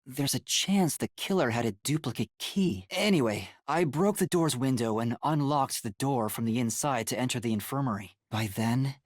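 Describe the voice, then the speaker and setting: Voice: medium pitch, animated, normal speed, few pauses, dry recording, clean studio sound, natural voice. An actor voicing an earnest, anxious young male detective in a video game, with a hesitant, boyish, easily flustered manner. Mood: thoughtful, explaining. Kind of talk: English video game voice acting.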